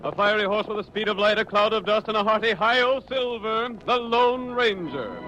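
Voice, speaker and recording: A man's voice: high-pitched, normal speed, over loud music. Theatrical old-time radio announcer, male. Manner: theatrical, declamatory. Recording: dull vintage radio recording.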